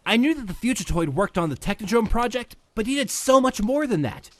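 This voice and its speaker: An actor playing a brainy, excitable teenage ninja turtle with an earnest, explanatory manner in a comic dub. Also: male voice, high voice, a little fast, no echo. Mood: eager. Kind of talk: comic-dub cartoon acting.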